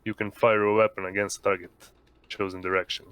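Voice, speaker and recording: A man taking part in a tabletop game, talking casually in halting, hesitant phrases. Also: lowish voice, flat delivery, fairly bright tone, clear, resonant tone, no room sound. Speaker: tabletop RPG player. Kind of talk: casual rules discussion.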